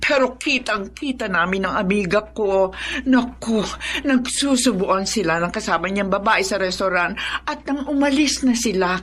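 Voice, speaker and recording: A woman's voice: mid-range voice, moderate pace, a bit bright, slight background noise, lightly acted. An actress playing a domineering, scheming middle-aged stepmother with a Filipino accent in a radio drama, imperious and falsely sweet. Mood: feigned concern. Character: scheming, domineering villainous stepmother and lady of the house.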